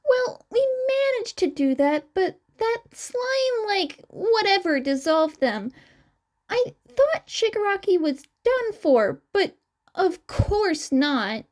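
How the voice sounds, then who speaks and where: A woman's voice: very high-pitched, very animated, takes it slowly, darkish tone, pitched above the performer's own voice, full character voice. A woman voicing an earnest young male twin explaining himself in a fan fiction audio story. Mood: exasperated.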